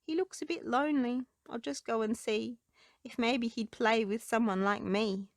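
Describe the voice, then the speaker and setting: A woman's voice: on the high side, steady tone, normal speed, no room sound, clean studio sound, natural voice. A woman voicing a friendly, curious young boy in a children's storybook.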